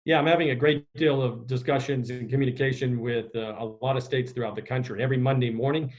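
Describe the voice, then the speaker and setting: Male sports administrator, medium pitch, formal and measured, fielding press questions on a video call. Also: fairly even intonation, darkish tone, highs cut off. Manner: measured, formal, official phrasing. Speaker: executive director of a school sports association answering questions. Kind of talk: media conference call answers. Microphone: video conference call.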